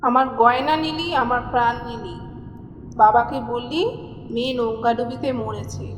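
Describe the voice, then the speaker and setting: A woman's voice: on the high side, normal speed, a little hiss or hum, lightly acted. A woman as echoing, vengeful young ghost bride. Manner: accusing, dramatic. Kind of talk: Bengali horror audio drama acting. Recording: long echoing reverb over music.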